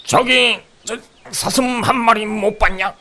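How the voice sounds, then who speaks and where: 남자가 연기하는 날카로운 고음의 사냥꾼. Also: male voice, sits high, fairly even intonation, slow, bright, forceful tone, a little hiss or hum, pitched above the performer's own voice, heavily acted. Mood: demanding. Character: brash, irritable male hunter. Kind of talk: comedic audio fairy tale acting. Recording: outdoor nature ambience, birdsong behind.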